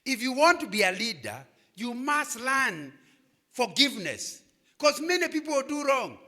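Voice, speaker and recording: Animated older Kenyan man preaching. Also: extremely high pitch, unhurried. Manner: animated preaching, asks "Am I communicating?". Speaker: guest speaker.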